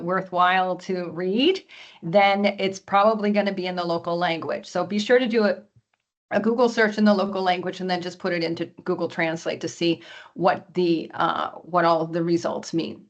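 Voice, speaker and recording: A hoarse woman giving a webinar presentation over a video call, often clearing her throat and using many fillers. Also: fairly low pitch, highs cut off. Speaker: export presenter.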